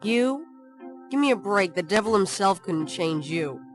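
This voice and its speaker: An actress playing a sharp-tongued young woman who works in a bookshop, teasing and sarcastic in a studio adventure game. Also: medium pitch, lively intonation, unhurried, lightly acted. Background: game music.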